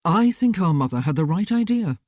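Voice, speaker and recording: A woman reading a guarded, brooding young gentleman. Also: lowish voice, sing-song, moderate pace, dry recording, clean studio sound. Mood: restrained, grim.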